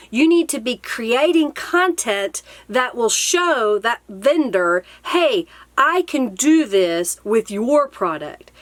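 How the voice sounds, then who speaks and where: Woman, middle-aged, slightly high voice, dry close room sound, casual craft tutorial talk, explanatory. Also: fairly bright tone, dry recording. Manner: explanatory, asks and answers own questions. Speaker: craft video host and blogger. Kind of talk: casual instructional talk to camera. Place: small cluttered indoor craft room.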